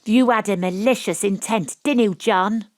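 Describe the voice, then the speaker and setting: A woman acting in an audio drama as a shrewd, theatrical amateur detective who narrates and probes deliberately. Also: mid-range voice, darkish tone, no room sound, lightly acted. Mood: accusing. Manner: deliberate, probing, storytelling. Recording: dry, close home-studio recording.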